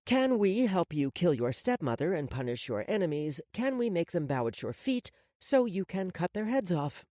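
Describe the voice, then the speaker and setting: A man's voice: sits high, sing-song, normal speed, few pauses, darkish tone, no room sound, clean studio sound. A man voicing a brazen older Mafia woman in an audiobook, mocking and blunt. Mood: bold, menacing.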